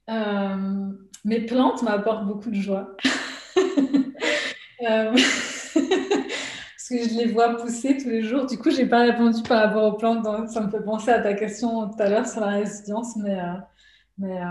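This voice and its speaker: A woman from Switzerland, talking as a guest in a relaxed interview. Her speech is hesitant and full of fillers, and she laughs often as she thinks aloud. She was recorded at home in an echoey room. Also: mid-range voice. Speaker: guest, artist and illustrator. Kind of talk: casual conversational interview. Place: at home during lockdown.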